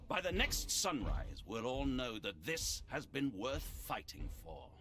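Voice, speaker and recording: A bold, loyal army leader, delivers confident orders in a game cutscene. His medium-pitched voice swings very widely over prominent music. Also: wildly swooping pitch, a little slow, fairly bright tone. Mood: rousing. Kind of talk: video game cutscene acting.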